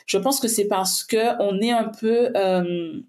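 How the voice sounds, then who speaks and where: Woman, finance coach guest, pragmatic, reflective, thinks aloud, middle pitch. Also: takes it slowly, darkish tone. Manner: reflective, pragmatic, many restarts and fillers while thinking aloud. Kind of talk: casual conversation.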